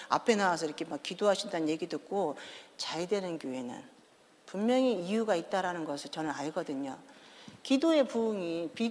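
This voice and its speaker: A woman in her fifties with a Chungcheong accent, a pastor's wife giving a joking, animated testimony in a large church. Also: fairly low pitch. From Daejeon, South Korea. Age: 50s. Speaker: pastor's wife, guest speaker. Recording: echoey church hall.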